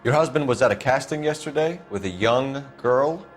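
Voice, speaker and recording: An actor playing a stern noir detective. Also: male voice, medium pitch, lively intonation, moderate pace, soft background music, close to an everyday voice. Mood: probing. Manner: cool, pressing questions. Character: stern male LAPD detective, relentless. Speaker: police detective. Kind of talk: 1940s noir video game acting.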